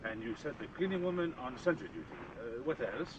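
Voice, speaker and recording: An actor playing a measured, courteous, questioning male detective in a British radio drama. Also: medium pitch, sing-song, close to an everyday voice. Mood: calm, inquiring. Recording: dull, noisy radio drama with outdoor effects.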